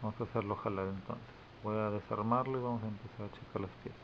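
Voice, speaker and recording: A man's voice: on the low side, fairly even intonation, quick pace. Man demonstrating gear, dull noisy audio. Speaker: repair technician demonstrating a radio amplifier. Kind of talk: informal hands-on video demonstration. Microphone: handheld camera microphone. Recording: dull lo-fi camera audio, clear background noise.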